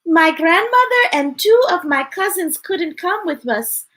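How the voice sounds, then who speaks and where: A woman voicing a homesick young refugee girl in a soft, wistful tone. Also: extremely high pitch, lively intonation, measured pace, pitched above the performer's own voice, heavily acted.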